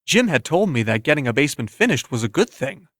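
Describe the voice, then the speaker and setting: A man's voice: medium pitch, sing-song, fast talker, fairly bright tone, dry recording. Man, audiobook narrator, scripted first-person fiction, dry recording.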